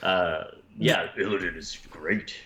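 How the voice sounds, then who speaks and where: A man with a deep voice hosting a live-streamed chat about running tabletop games, animated and quick to slip into acted voices. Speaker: game master and show host. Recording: roomy, dull lo-fi stream audio.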